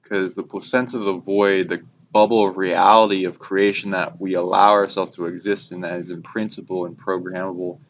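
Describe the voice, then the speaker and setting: A man's voice: low voice, little pitch movement, a little fast, hardly any pauses. A man co-hosting a casual spiritual chat, speaking in a meandering, informal and enthusiastic way. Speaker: talk show co-host. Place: informal recording by a fire. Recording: muffled lo-fi, clear background noise.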